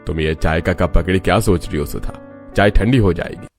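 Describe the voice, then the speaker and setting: A man performs a middle-aged father in a moral storybook tale. He is calm, indulgent and principled, reasoning without hurry in a deep voice. Soft music runs underneath. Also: moderate pace. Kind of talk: Hindi moral story voice acting.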